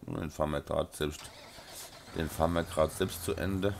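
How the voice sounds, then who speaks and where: A very deep-voiced man with a Rhine-Hessian German accent talks casually over his game, his voice hoarse and stuffy from a cold. He mumbles and fills pauses with plenty of ums. A faint hiss sits under the desk-mic recording. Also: fairly even intonation. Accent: Rhine-Hessian German dialect. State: hoarse with a sore throat and blocked nose. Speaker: let's play host. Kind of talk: casual gameplay commentary.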